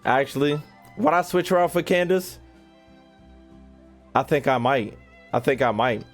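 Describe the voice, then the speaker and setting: A man's voice: medium pitch, expressive, a little slow, faint music behind. A young American man giving casual, joking, slangy gameplay commentary as a streamer talking to his chat. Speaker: gaming streamer.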